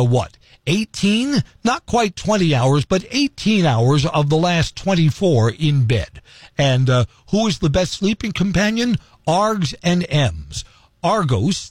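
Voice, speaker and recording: A man's voice: medium pitch, a little mellow, dry recording, clean studio sound. A male morning radio news host, chatty and self-deprecating, talking with a voice that is still recovering after he lost it. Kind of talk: casual radio monologue. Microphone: studio microphone.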